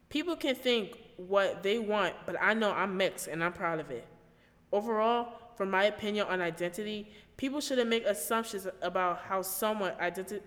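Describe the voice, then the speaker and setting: A young woman in her late teens from the United States, reading her own scripted personal essay as a student radio reporter in an earnest, steady way. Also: medium pitch, in a large hall.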